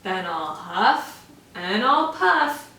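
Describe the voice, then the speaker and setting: A woman voicing a big bad male pig, a gruff bully, drawing out each word slowly as she reads a storybook aloud. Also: medium pitch, big pitch swings, sharp tone, heavily acted. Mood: menacing. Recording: echoey room, mic far off.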